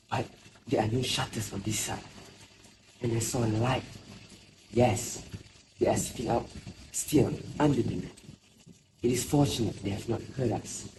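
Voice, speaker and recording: A man's voice: mid-range voice, natural voice. Man acting a cautious old villager, noisy surroundings. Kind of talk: amateur stage drama dialogue. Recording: noisy ambient background. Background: clear ambient noise.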